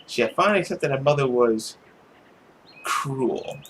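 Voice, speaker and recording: An expressive amateur narrator reads a visual novel aloud, slipping into casual asides. The pitch moves around a lot, and there is faint noise on the recording. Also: measured pace. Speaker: let's player reading a visual novel aloud.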